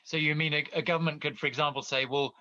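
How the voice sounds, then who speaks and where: A man's voice: a little high, steady tone, quick pace, bright, forceful tone, dry recording, muffled recording. A middle-aged man, an editor hosting a virtual forum interview over a video call, polite and hesitant, with many fillers.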